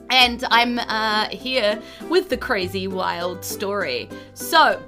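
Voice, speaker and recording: A woman with a New Zealand accent hosting a podcast as dungeon master in a lively, casual style. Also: fairly high pitch. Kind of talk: lively casual podcast intro and game recap.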